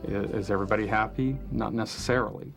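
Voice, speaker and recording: A man talks thoughtfully in a documentary interview as a film director. His voice is fairly low and stays even, with emphatic repeats, over clearly audible music. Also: fast. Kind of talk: documentary interview, reflective explanation.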